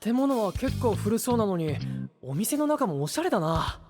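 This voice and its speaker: Earnest, high-voiced young knight. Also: male voice, sing-song, moderate pace, a little mellow, soft background music. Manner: plain, frank boyish speech. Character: earnest, straightforward boyish young male knight. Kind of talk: anime-style audio drama acting.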